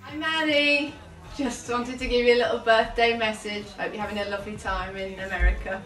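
A woman recording a slow, affectionate birthday message on a phone at home. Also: on the high side, steady tone, a bit bright, soft background music. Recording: roomy, distant phone audio.

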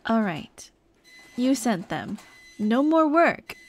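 A young woman voicing a dead girlfriend's caring, gently nagging ghost in an ASMR roleplay drama, tender and coaxing. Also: on the high side, very animated, takes it slowly, exaggerated voice. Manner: tender, coaxing, soft-hearted scolding. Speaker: roleplay voice actress.

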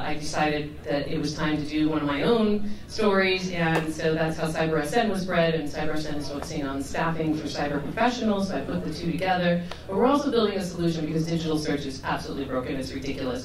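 A middle-aged woman opens a conference panel in a lower voice, upbeat and quick to laugh. She is picked up from a distance in an echoey room, with the audience audible behind her. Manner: upbeat, energetic, laughs easily. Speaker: panel moderator. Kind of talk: panel introduction. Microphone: handheld mic, heard from afar.

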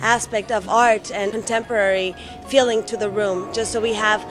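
A woman giving promotional interview talk as a restaurant representative, halting and rephrasing as she goes. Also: medium pitch, steady tone. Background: music.

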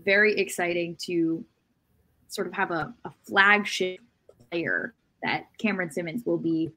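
A woman in her thirties co-hosting a sports podcast on a video call from home, with halting, hedged phrasing. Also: fairly low pitch, bright, forceful tone, poor audio. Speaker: podcast co-host. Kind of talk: casual sports discussion. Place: room at home, indoors.

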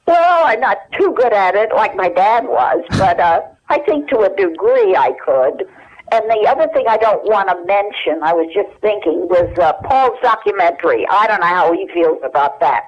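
A woman's voice: mid-range voice, lively intonation, normal speed. An older woman reminiscing as an interview guest over the telephone, in a rambling, hesitant conversational way. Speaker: interview guest sharing family memories. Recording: dull telephone line.

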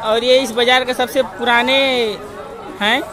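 A man's voice: extremely high pitch, flat delivery, a little slow. A young man walking through a village market with his phone, chatting to viewers and haggling with sellers. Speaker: village vlogger. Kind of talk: walking market vlog narration. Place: busy outdoor village market. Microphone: handheld phone camera. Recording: crowd noise of outdoor market.

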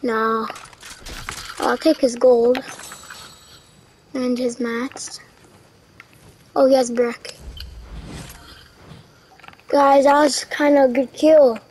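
Slow, tired young female gamer. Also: a little hiss or hum, low-quality recording. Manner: relaxed, low-key narration of play, says she is tired. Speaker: gaming video creator. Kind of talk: casual gameplay commentary.

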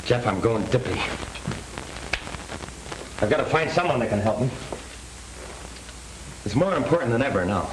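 A man's voice: mid-range voice, expressive, normal speed, pauses often, natural voice. An actor playing an earnest, troubled young man in a 1930s film. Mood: troubled, determined. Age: young adult. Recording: faintly noisy 1930s film soundtrack.

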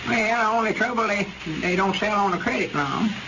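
An actor playing a plain-spoken, grumbling old country storekeeper in rural dialect, in 1930s radio comedy style. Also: male voice, high-pitched, animated, fairly quick. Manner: folksy rural dialect, slightly stammering. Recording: muffled, noisy old radio recording.

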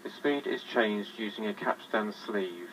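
A man giving scripted, matter-of-fact narration in a measured way, as if presenting a product. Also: on the low side, lively intonation, fairly bright tone. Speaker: presenter demonstrating a vintage tape recorder. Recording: distant, noisy vintage tape recorder sound.

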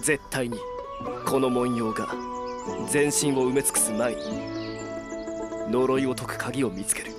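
A man's voice: mid-range voice, steady tone, unhurried, pauses often, loud music behind the voice, close to an everyday voice. A man playing a cool, resolute young adventurer in a voice drama, terse, dry and composed. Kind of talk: Japanese voice drama acting.

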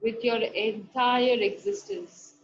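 A woman with a fairly high voice leads a guided meditation, speaking very slowly and softly with long pauses between phrases. The recording is dull and lo-fi, with faint music underneath. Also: lively intonation. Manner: soft, slow instructions with long pauses. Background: soft background music.

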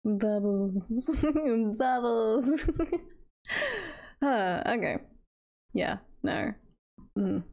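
Slow, creaky-voiced woman chatting on stream. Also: a little high, mellow. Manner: giggly, playful, rambling. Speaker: livestreamer, virtual avatar host. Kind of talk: casual livestream chat.

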